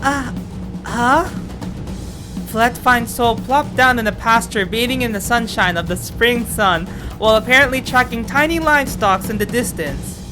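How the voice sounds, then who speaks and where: A high-voiced woman hosts a playthrough with music clearly playing behind her. She moves between reading narration aloud and effusive, exclamatory commentary on the game. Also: moderate pace. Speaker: game streamer reading a visual novel aloud. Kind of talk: excitable let's-play commentary and story reading.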